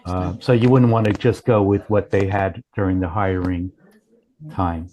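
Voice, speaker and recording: Halting, low-voiced man with a mellow tone. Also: fairly even intonation. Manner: halting, self-correcting delivery with many broken starts. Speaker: company founder and chief executive. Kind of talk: explaining a product demo.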